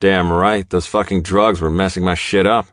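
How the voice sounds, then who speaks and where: A man performs a stubborn young outlaw biker with a deep voice. He is hot-headed and forceful, barking and growling his lines in a dry, close audiobook sound. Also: steady tone, moderate pace, no echo. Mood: angry, defiant.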